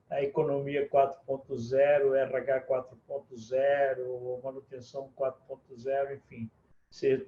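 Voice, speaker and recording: A middle-aged man from Mozambique, a book publisher, giving measured, formal interview answers over a video call. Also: mid-range voice. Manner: formal and measured, building points in lists. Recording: echoey room, dull lo-fi sound.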